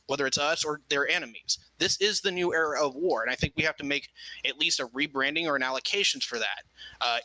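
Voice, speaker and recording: A man debating politics with emphatic, argumentative energy. His voice is bright and forceful, and he speaks quickly. The sound is dry and close. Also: a little high, no echo, poor audio. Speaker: debate guest.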